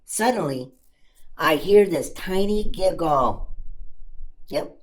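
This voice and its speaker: A woman voicing a cheeky, self-amused puppet comedian who tells stand-up stories with dramatic pauses and exaggerated emphasis. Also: lowish voice, lively intonation. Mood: mock dramatic. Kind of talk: family-friendly stand-up comedy storytelling.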